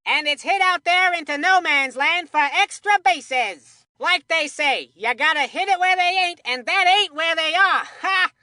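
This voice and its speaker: A woman voicing a folksy, excitable boy who calls baseball in a video game, full of old-timey homespun sayings. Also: very high voice, measured pace, few pauses, no room sound, studio quality, heavily acted. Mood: folksy, matter-of-fact. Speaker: baseball commentator. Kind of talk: video game sports commentary.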